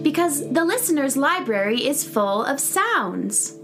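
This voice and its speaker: A woman's voice: sits high, sing-song, unhurried, loud music behind the voice, full character voice. A woman performing as a playful singer in a children's audio story, singing a folksy kids' song. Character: playful female singer celebrating a library of sounds.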